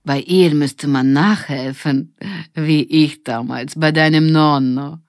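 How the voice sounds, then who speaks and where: Female reader as elderly Italian nonna for audiobook: fond, proud, slow, low, Italian accent. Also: steady tone, darkish tone, dry recording, clean studio sound. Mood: reminiscing. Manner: slow, drawn-out delivery.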